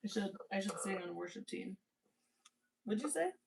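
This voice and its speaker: A woman's voice: mid-range voice, lively intonation, normal speed, vocal fry, background noise. Woman, casual livestream co-host, playful, chatty, reads scripture aloud. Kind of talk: casual chat and Bible reading aloud.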